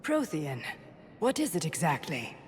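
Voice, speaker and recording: Doubting alien councilor, acted by a woman, inquisitive, lowish female pitch, reverberant chamber. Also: wildly swooping pitch, a little slow, exaggerated voice. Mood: probing, doubtful. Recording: echoing chamber, faint ambient noise.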